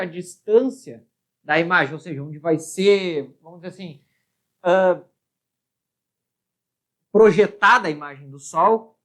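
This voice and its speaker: A woman with a Brazilian accent working through a physics problem as a teacher, explaining and often checking understanding with short tag questions. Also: mid-range voice. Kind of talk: spoken worked-problem lesson.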